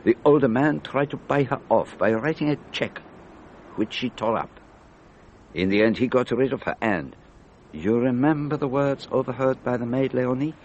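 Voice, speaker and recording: An actor playing an elderly detective in a radio drama, speaking in a precise, deliberate, patiently instructive way. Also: male voice, medium pitch, expressive, darkish tone, highs cut off, slight background noise, natural voice. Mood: explaining. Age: older. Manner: precise, deliberate, didactic questioning. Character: elderly, methodical, patient Belgian male detective.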